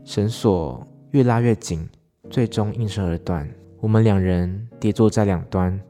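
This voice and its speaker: A man's voice: fairly low pitch, unhurried, dark tone, faint music behind. A man reading a personal essay as scripted literary narration.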